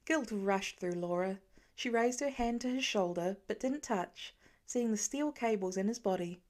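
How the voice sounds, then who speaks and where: A woman's voice: medium pitch, normal speed, darkish tone. A woman reading fiction aloud as a scripted narration, in the style of an amateur audiobook.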